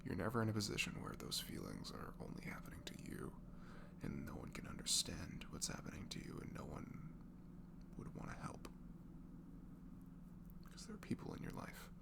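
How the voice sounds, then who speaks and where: A young man softly leaving an intimate, improvised comfort message in ASMR style, tender and reassuring, murmuring and often slipping into a breathy whisper. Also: sits low, sing-song, fairly quick, pauses often. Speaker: amateur audio performer playing a comforting friend. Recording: clearly noisy home recording with hum.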